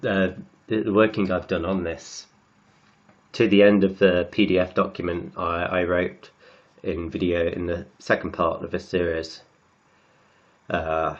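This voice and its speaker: Croaky man talking through maths. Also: sits low, flat delivery, dull audio. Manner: halting, repeats words while writing. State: has a cold, croaky and sniffly. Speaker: maths tutor. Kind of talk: working through textbook maths aloud.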